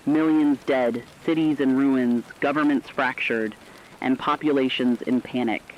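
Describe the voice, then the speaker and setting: A man's voice: medium pitch, steady tone, a little slow, slight background noise, lightly acted. A man playing a radio war correspondent, delivering a scripted dramatic news report in a grave, formal, emphatic way. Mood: grave, resolute. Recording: dull lo-fi radio sound, faint rain.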